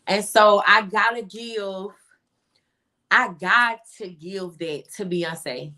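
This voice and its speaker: Very bright-voiced woman, casual and emphatic. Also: mid-range voice, slow. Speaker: interview guest, singer-songwriter. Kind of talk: casual interview chat.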